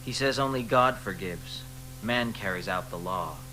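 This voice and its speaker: An actor playing an insecure young newcomer, hesitant and guarded. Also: male voice, on the low side, moderate pace, background noise, natural voice. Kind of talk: radio drama acting.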